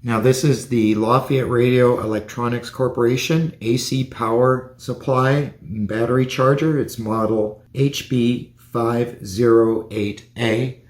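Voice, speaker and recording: A man's voice: mid-range voice, fairly even intonation, measured pace, few pauses, darkish tone. A man giving a hands-on gadget review, explaining features plainly and methodically, step by step. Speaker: product reviewer.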